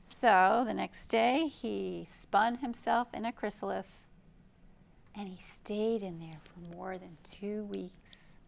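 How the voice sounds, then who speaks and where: Unhurried, gravelly American storytime woman. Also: mid-range voice. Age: middle-aged. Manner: expressive, simple explaining for young children. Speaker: children's librarian, storytime host. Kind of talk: children's storytime read-aloud and show-and-tell. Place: indoor library room.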